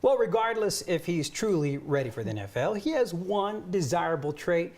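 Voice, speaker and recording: Male news anchor, American accent, slightly higher-pitched voice, broadcast delivery, light banter. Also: very animated, moderate pace, darkish tone. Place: TV news studio.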